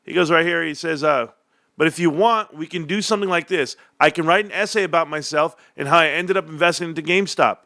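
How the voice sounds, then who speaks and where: A man's voice: on the high side, fast, pushed and bright, no echo, clean studio sound. A young man hosting a stock commentary stream, ranting in a combative, sarcastic way as he reads out messages and posts. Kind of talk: heated casual rant.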